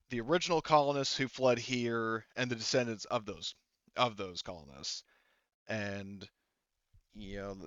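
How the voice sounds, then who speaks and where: A man narrating a tabletop roleplaying game as game master, improvising in a halting, rambling way full of fillers. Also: medium pitch, fairly bright tone, clean tone, no room sound, lo-fi sound.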